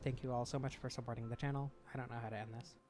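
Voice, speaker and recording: A man's voice: medium pitch, flat delivery, rapid-fire. A man giving casual gameplay commentary, his voice a little scratchy from weeks of not talking. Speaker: gaming channel host. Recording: home room with fan noise behind. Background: fan noise.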